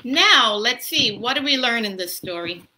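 A woman's voice: on the high side, lively intonation, moderate pace, sharp tone, a little hiss or hum, rough recording. A woman in her thirties chatting to children as a story-time host and pastor, explaining simply and asking questions. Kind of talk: casual, child-directed teaching chat. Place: small room at home. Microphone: laptop or phone at arm's length.

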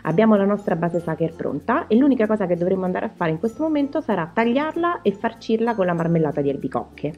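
A woman's voice: mid-range voice, lively intonation, fast, few pauses, lo-fi sound, music in the background. A woman narrating a recipe in voice-over as a home cooking host.

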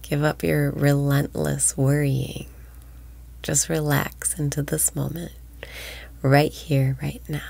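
A woman guides a breathing meditation in a low, gravelly voice that keeps slipping into soft whispers. She speaks slowly and warmly, close to a dry, deadened mic. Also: flat delivery, dry recording. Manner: slow soothing delivery, drops into whispers.

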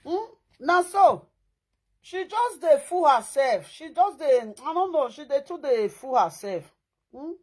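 A woman in her thirties with a Nigerian Pidgin accent, chatting to her viewers indoors in an animated, emphatic way. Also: high voice, a little slow. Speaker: vlogger. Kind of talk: casual chat to viewers. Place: indoors against a plain wall.

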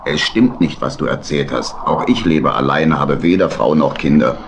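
An actor playing a kindly, easygoing badger boat captain in a children's audio play, with a gravelly voice and a hesitant, reassuring manner. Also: male voice, rumbling low, normal speed, noisy background. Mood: friendly, calm.

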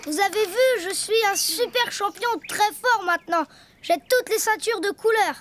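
High-pitched eager little boy donkey. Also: moderate pace. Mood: proud, excited. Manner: chirpy, childlike, boastful. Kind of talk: French children's cartoon acting. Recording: faint music behind.

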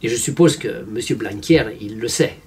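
A man's voice: lowish voice, expressive, normal speed, a little mellow. A man in his fifties giving didactic, wry opinion commentary to a camera in a room at home. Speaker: vlogger commentator. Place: small furnished room at home.

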